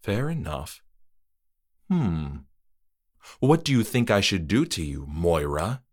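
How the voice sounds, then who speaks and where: Man doing a hushed, low, formal creature, slow and quiet, in a creepy story. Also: full character voice. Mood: coldly polite. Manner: calm, soft, measured and formal. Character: eerily polite male trick-or-treater spirit.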